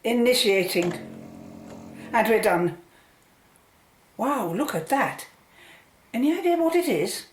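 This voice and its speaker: A woman acting an excited, upbeat polar scientist in a radio play. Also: fairly high pitch, takes it slowly, long pauses, roomy sound. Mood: amazed, curious.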